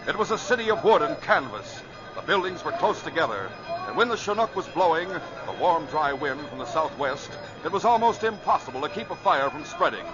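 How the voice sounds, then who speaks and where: A man narrating an old-time radio adventure in a dramatic, measured storytelling style. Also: fairly high pitch, lively intonation, music in the background. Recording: dull, noisy vintage radio recording.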